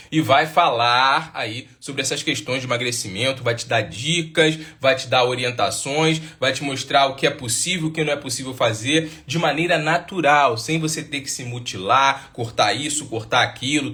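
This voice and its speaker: Man, live-stream host, bright tense voice, casual and upbeat. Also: medium pitch. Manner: upbeat, repeats catchphrases.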